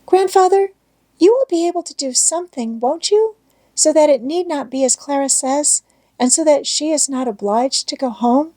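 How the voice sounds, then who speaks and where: A woman's voice: high voice, lively intonation, moderate pace, mellow, voice pushed up. A woman reading a storybook as an eager, trusting young mountain girl, earnest and pleading. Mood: pleading, hopeful.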